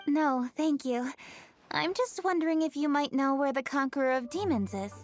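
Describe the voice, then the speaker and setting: Game role for an actress: dutiful young lady official, high-pitched, polite, crisp in manner and formal. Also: normal speed, a little hiss or hum, natural voice. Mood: composed. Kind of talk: video game voice acting.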